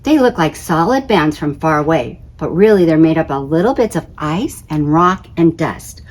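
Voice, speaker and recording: A woman reading a children's picture book aloud in an expressive, conversational way. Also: low-pitched, moderate pace.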